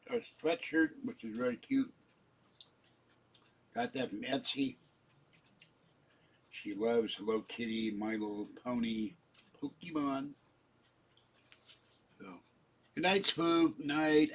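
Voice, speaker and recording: A middle-aged man from Pittsburgh, chatting in a meandering way on his vlog, with a husky, scarred-sounding voice and frequent throat clearing. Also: mid-range voice. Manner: meandering, chatty, warm sign-offs to viewers. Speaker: vlogger. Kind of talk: casual rambling vlog chat.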